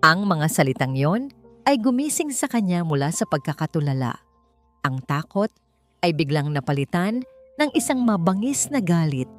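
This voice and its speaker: A woman narrating a scripted romance drama in a measured, suspenseful and melodramatic manner. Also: fairly low pitch. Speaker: story narrator. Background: music.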